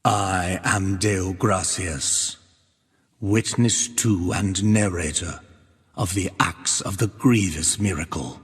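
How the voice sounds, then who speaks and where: An actor playing a solemn, devout penitent who narrates and bears witness in archaic, measured speech in a video game. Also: male voice, low voice, husky, heavily acted. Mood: grave, foreboding. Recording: long cavernous reverb.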